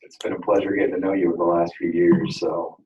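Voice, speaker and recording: Man, very low loud voice, rambling meeting presenter, distant mic in echoey room. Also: little pitch movement, fast talker, muffled recording, background noise. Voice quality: self-described very loud voice. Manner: loose, rambling, many fillers and restarts. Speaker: energy audit presenter. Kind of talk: presentation at a school board meeting. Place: school district board meeting room. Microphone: room microphone at some distance.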